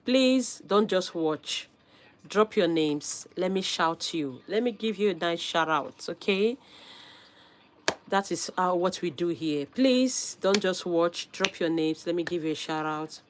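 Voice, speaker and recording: Slow, lively woman with a hoarse cold voice. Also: fairly low pitch, slight background noise. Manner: upbeat, calls out viewer names with frequent laughs. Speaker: live stream host. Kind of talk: casual live stream chat.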